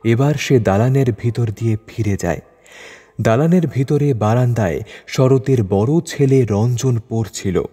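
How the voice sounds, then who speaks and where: Mellow, husky male story narrator. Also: medium pitch, unhurried. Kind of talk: scripted literary story narration. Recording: long reverb over music bed.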